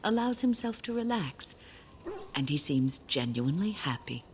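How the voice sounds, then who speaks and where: A woman playing a wise, gentle older companion in a fantasy video game, speaking in a measured, earnest way. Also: deep voice, big pitch swings, a little hiss or hum. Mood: earnest, tender.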